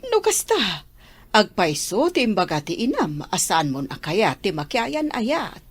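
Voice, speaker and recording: An actress with an Ilocano accent playing an inquisitive, chiding older auntie in a radio drama, full of questions. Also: female voice, mid-range voice, very animated, measured pace, breathy edge. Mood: nosy, surprised.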